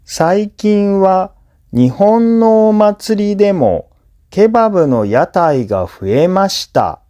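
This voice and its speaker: A man who is a native Japanese speaker, reading scripted listening practice for learners in a clear, simple explanatory way. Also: on the high side, warm tone. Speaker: language teacher and narrator.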